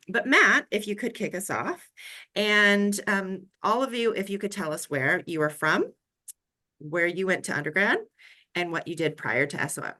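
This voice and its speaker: Woman hosting an online panel, career coach type, bright clear-cut voice, a bit low.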